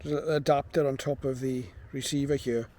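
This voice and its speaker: A man's voice: mid-range voice, flat delivery, brisk, warm tone. A man giving an informal, matter-of-fact product demonstration, explaining things as he goes. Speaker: presenter of a radio receiver review.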